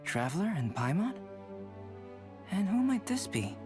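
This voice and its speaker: A man voicing a calm, composed young master alchemist in an English video game dub, measured, formal and polite. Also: high-pitched, music in the background.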